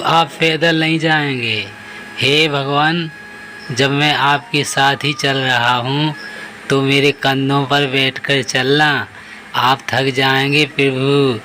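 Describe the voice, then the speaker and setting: A man acts out an innocent village devotee in a devotional cartoon. The voice is bright and forceful but stays on an even pitch. He pleads with guileless devotion, over faint background noise. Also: rough recording. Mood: devout pleading. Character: Bholu, simple, earnest, innocent male devotee of God. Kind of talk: Hindi devotional cartoon story acting.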